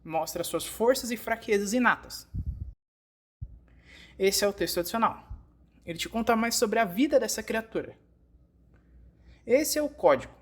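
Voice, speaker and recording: A woman's voice: on the high side, expressive, moderate pace, long pauses. Brazilian woman narrating a tutorial. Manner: explanatory, step by step. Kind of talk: scripted instructional narration, fan dub.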